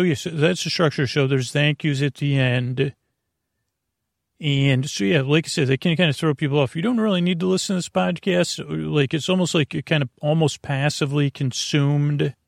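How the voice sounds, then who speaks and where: A man hosting a bedtime podcast, rambling and halting as he loses his thread, with gentle, silly deadpan humor. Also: a little high, gravelly, no room sound, studio quality. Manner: meandering, halting, often losing his thread. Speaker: podcast host.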